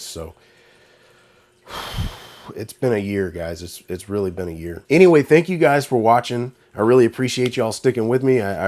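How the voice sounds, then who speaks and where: A man's voice: deep voice, a little mellow. A man with a stuffy, congested voice, casually rambling through commentary as a channel host. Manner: rambling, conversational, with fillers and restarts. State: congested, nasal from a cold. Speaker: YouTube host. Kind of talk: casual talking-to-camera commentary.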